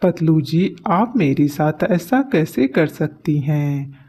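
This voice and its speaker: A woman's voice: sits low, expressive, takes it slowly, warm tone, husky, pitched below the performer's own voice, heavily acted. A woman voicing a jilted, persistent young male suitor in a cartoon story, aggrieved and pleading.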